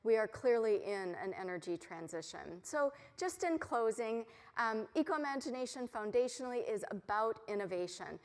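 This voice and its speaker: A woman's voice: on the high side, expressive, moderate pace, few pauses. A middle-aged American woman giving a confident, upbeat conference keynote with frequent ums, recorded on a mic in a large hall. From United States. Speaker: keynote speaker. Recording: long reverb of a large hall.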